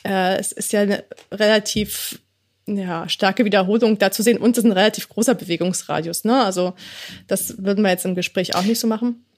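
A woman's voice: mid-range voice, fairly even intonation, fairly quick, darkish tone. Woman co-hosting a chill podcast, laughs a lot, lots of ähm. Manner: chatty, laughs often, many fillers. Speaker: podcast co-host. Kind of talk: casual academic chat about gestures.

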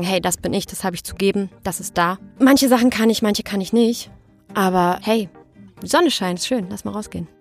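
A woman speaking as an interview guest in a candid, colloquial and expressive way, telling personal stories casually. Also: lowish voice.

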